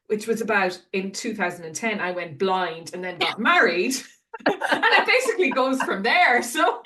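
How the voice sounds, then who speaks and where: A woman's voice: mid-range voice, a bit bright. Irish woman hosting a podcast. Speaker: podcast host. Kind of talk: podcast interview.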